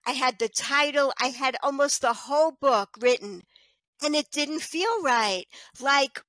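Middle-aged woman on a headset call, high voice, dry close sound, talks with her hands. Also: measured pace, dry recording. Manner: animated, gestures a lot, lots of "you know". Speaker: guest, children's author. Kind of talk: casual video call chat. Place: small room at home. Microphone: headset mic on a video call.

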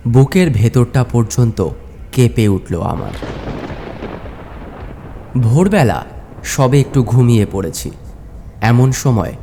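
A man narrating a horror story in the first person, dramatically, in halting, suspenseful short phrases. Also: mid-range voice, warm tone, a little hiss or hum.

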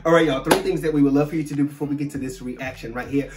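A man's voice: on the high side, fairly even intonation, very fast, echoey room. A young man with an American accent, chatting casually as a reaction video host. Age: young adult. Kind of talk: casual chat. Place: small room with cloth backdrop. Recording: slightly distant from mic.

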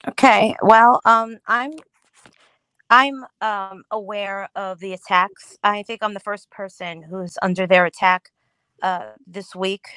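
A woman's voice: medium pitch, steady tone, takes it slowly, pushed and bright, clear, resonant tone, no echo, poor audio. A woman guest on a livestream panel, chatting casually with strong opinions and repeating phrases for emphasis.

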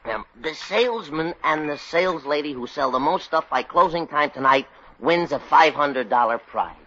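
An actor playing a slow-witted, bashful elevator operator with a husky, hesitant delivery in 1940s radio comedy style. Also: male voice, fairly high pitch, lively intonation, pushed and bright. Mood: explaining. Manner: hesitant, dopey. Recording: muffled old radio recording.

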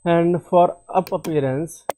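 A man walking through a software tutorial, halting and often repeating or correcting himself. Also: on the high side, steady tone, a little hiss or hum. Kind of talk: screen-recorded software tutorial.

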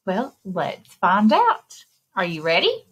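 A woman in her thirties reading a picture book aloud to children in an expressive, exclaiming way, speaking directly to her young listeners, with a slightly husky voice. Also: medium pitch, takes it slowly. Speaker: children's librarian and story time reader. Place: indoor room, recorded screen share with webcam.

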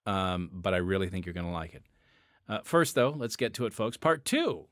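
A man's voice: sits low, very animated, normal speed, no room sound, clean studio sound. A man hosting a podcast, talking conversationally with fillers before introducing the episode. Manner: conversational, with fillers and dramatic shifts. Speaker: podcast host. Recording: music bed under the voice.

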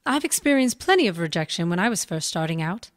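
An actress playing a kindly, polite young stage star in an audio drama. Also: female voice, on the low side, sing-song, fast, no room sound, clean studio sound.

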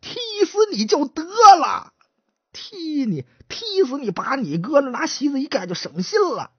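A man's voice: very high voice, big pitch swings, no room sound, muffled recording, voice pushed up, full character voice. A man voicing a brash, bossy constable who scolds and talks on and on. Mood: scolding. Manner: scolding, brash, fast talk. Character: bossy, quick-tongued chatterbox constable. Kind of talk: traditional storytelling, acted dialogue.

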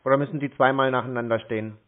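A man talks over a muffled, tinny phone line at a middling pitch. He sounds hesitant and a little slow on the uptake, rambling through his explanations in casual conversation. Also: steady tone. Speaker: caller posing as a confused customer.

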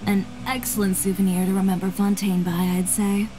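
A woman's voice: mid-range voice, flat delivery, fairly quick, lightly acted. A woman voicing a poised, self-assured young fashion designer in a video game, speaking in a composed, articulate, matter-of-fact way. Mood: composed, admiring. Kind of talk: scripted video game voice acting. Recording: game music behind the voice.

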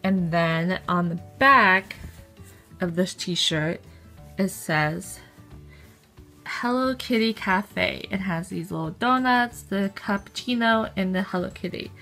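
A woman's voice: mid-range voice, slow, sharp tone, soft background music. A young woman chatting upbeat in a casual haul vlog, filmed on a phone at arm's length in a bedroom. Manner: chatty and upbeat, with frequent ums. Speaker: vlogger. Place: indoor bedroom, close to camera. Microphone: phone camera at arm's length.

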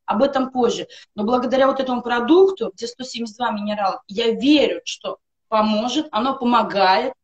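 Woman, middle-aged, slightly high pitched, earnest testimonial, emphatic lists, webcam video call, home room. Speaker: guest on a live stream. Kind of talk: personal testimonial for a wellness product.

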